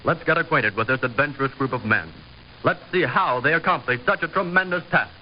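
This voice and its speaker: A man's voice: medium pitch, fairly quick. A man narrating a scripted vintage radio series in a formal, declamatory announcer manner. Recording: dull, hissy vintage radio recording.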